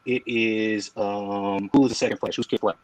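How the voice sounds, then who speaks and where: A man's voice: fairly low pitch, fairly even intonation, moderate pace, a loud crowd, low-quality recording. A man on a comics panel who presses his point, breaking in with repeated "but" and asking pointed questions. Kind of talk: casual panel discussion.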